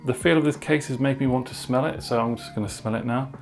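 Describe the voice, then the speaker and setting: A man's voice: mid-range voice, fast talker, faint music behind. A man talking casually through a product unboxing and review in an informal way, with short asides.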